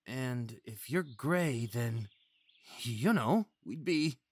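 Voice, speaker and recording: A man's voice: medium pitch, expressive, drawn-out words, no room sound. A young man acting a timid, eager, naive male faun who stammers and pleads sweetly in an ASMR fantasy roleplay. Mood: shy, friendly. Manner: stammering, hesitant, childlike eagerness.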